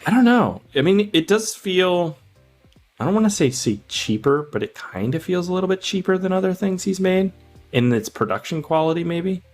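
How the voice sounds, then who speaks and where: A man's voice: sits high, animated, moderate pace, soft background music. A man co-hosting a podcast, discussing a film casually and informally, thinking aloud as he goes. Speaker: podcast co-host.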